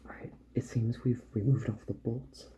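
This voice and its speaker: A man speaking softly, slowly and soothingly in an ASMR roleplay as a repair doctor, often slipping into a whisper. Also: medium pitch, fairly even intonation, a little hiss or hum, poor audio.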